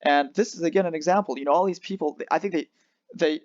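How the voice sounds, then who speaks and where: A man's voice: on the high side, fast talker, a little mellow, no echo. Rambling bilingual man, dull dry sound. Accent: Geneva Swiss French. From France near Switzerland. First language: French and English. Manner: rambling, restarts, switches language. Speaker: livestream host. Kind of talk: casual livestream chat.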